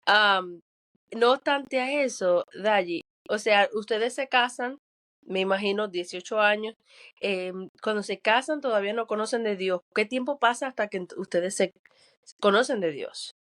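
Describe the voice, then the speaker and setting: A woman's voice: medium pitch, measured pace, fairly bright tone. A woman co-hosting a casual podcast, asking questions with loose restarts. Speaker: podcast co-host.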